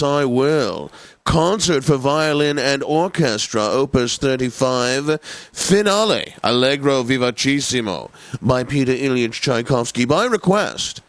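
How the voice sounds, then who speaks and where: An actor playing a pompous, genteel classical radio DJ in a video game, announcing in a precise and theatrically obliging manner. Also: male voice, medium pitch, lively intonation, a little slow, dry recording. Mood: stately, smooth announcing. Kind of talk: scripted radio continuity announcing.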